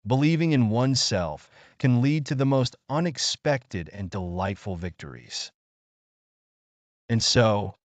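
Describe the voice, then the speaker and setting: Expressive male storyteller with lively, lowish pitch. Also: no echo, muffled recording. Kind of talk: scripted children's story narration.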